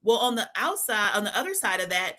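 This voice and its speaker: Bright energetic woman from Oakland. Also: medium pitch, quick pace. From East Oakland, California. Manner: energetic, laughs often, repeats points. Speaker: financial psychologist, co-host. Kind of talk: live stream chat.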